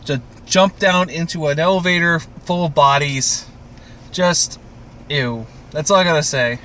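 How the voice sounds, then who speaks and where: A man's voice: on the high side, a little slow, sharp tone, a little hiss or hum. A man from Canada co-hosting a podcast, chatting casually about TV in an exasperated, sweary, ranting way. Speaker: podcast co-host. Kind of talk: casual TV recap chat.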